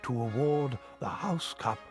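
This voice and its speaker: Slow, measured old wizard headmaster, played by a man. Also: medium pitch, lightly acted. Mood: measured, ceremonious. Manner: measured, formal, ceremonious. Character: wise, kindly old male wizard headmaster. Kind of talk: video game voice acting. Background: music.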